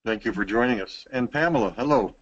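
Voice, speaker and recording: A man's voice: medium pitch, quick pace, lo-fi sound. A man co-hosting and moderating an online panel, introducing speakers politely with frequent fillers. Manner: courteous, filler-heavy introductions. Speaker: co-host and moderator.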